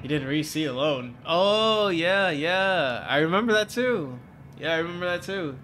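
A young man with a fairly high, bright, forceful voice talks casually to his live-stream viewers, joking and reading their comments aloud. His nose is stuffed up and congested. A faint hiss sits under the home desk-mic recording. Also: animated, normal speed. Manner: chatty and joking, reads chat aloud. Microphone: desk mic, webcam framing.

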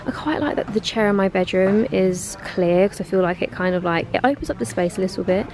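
A woman's voice: mid-range voice, animated, a little fast, a little mellow. A young woman, chatting casually to camera as she browses a large home goods store with a handheld camera. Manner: chatty, giggly, talking to camera while browsing. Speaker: vlogger. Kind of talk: casual shopping vlog chat.

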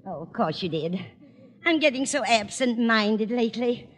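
Fluttery, high-voiced old landlady. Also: lively intonation, normal speed. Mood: dithery. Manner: fluttery, scatterbrained. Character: dithery, absent-minded older landlady. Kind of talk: 1940s radio comedy.

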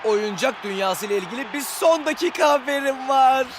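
High-pitched, playful young footballer. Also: male voice, lively intonation, fairly quick, background noise. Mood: eager. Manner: eager, teasing. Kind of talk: animated cartoon dubbing. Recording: music behind the voice.

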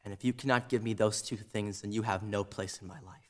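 A high-voiced young man, as acted by a student actor, playing a grieving and defiant son in a stage monologue. His delivery swings widely in pitch as he confronts his mother. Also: very animated, measured pace, a bit bright, heavily acted. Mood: quiet, firm. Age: teens to young adult.

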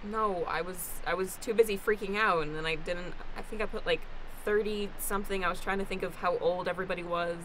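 A woman's voice: lowish voice, fairly even intonation, brisk, a bit bright, natural voice, poor audio. A woman playing an anxious, hesitant young heroine in an improvised radio play, stammering in a natural, everyday way. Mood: uncertain. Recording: faint background noise.

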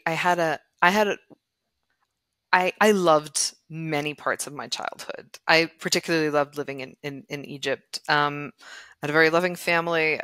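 A woman's voice: low-pitched, a bit bright, no room sound, studio quality. A woman novelist who grew up in the former Yugoslavia and speaks Serbo-Croatian natively, talking thoughtfully in a podcast interview with many restarts. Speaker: novelist guest.

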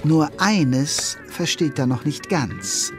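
A man's voice: a little high, takes it slowly, over music. A man playing a wry, playful narrator who addresses listeners in a German children's audio drama. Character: wry, chatty male storyteller.

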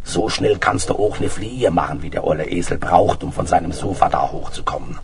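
A bossy petty crook from Berlin in a children's radio play. His voice is very deep and creaky, full of scorn and impatience, on a noisy old record. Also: brisk. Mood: gruff, dismissive. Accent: Berlin German.

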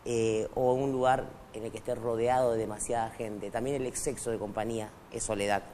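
A middle-aged man whose first language is Quechua talks as a studio guest, hesitant and full of fillers and restarts. His voice sits at an ordinary pitch for a man. A faint hum of studio noise runs under his lapel mic. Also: flat delivery, brisk, mellow. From Argentina, raised in Bolivia. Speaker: guest writer. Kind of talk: casual interview talk.

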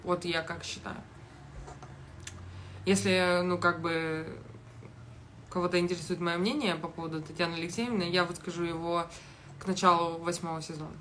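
A young woman giving casual video commentary in a blunt, halting, heavily sweary manner, recorded on a phone in a kitchen. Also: on the low side, fairly even intonation, fairly bright tone. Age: young adult. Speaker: reality show commentator. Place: small kitchen indoors. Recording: noticeable background noise.

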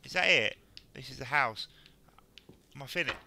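A man with a sore, croaky throat, nervously muttering his way through a horror game. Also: medium pitch, lively intonation, normal speed, bright, forceful tone. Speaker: let's play gamer. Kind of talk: casual gameplay commentary.

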